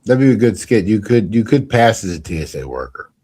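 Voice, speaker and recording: A man's voice: lowish voice, very animated, fairly quick, dark tone, slightly rough. A man hosting a comedy podcast, chatting casually in a rambling, joking way. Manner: rambling, jokey, restarts a lot. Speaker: podcast host.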